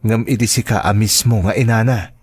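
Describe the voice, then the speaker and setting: A man's voice: fairly low pitch, steady tone, a little fast, husky, dry recording, clean studio sound. A man playing an earnest, polite young suitor in a radio drama, explaining himself with an Ilocano accent. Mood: defensive, reproving. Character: earnest, polite young suitor who works in fast food. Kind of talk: Filipino radio drama acting.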